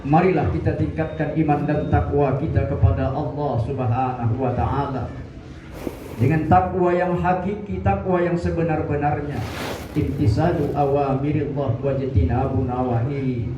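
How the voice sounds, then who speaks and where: A man in his sixties giving a Friday mosque sermon, measured and building in emphasis. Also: fairly high pitch, steady tone. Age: 60s. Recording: echoey, distant, dull mosque recording with light noise.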